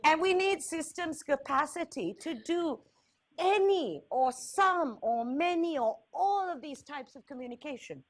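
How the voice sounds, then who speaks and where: A woman's voice: sits high, expressive, a little slow. A woman with a Sri Lankan accent presenting at a conference, candid and wry, stressing points with repetition and checking in with short tag questions. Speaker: health agency speaker, risk communication expert.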